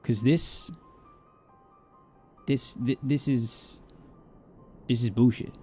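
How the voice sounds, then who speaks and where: A man giving casual commentary on a game stream, animated, often breaking off and repeating himself. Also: medium pitch, very slow, slightly rough. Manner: animated, self-interrupting, repeats phrases for effect. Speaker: streamer playing an online role-playing game. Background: game music.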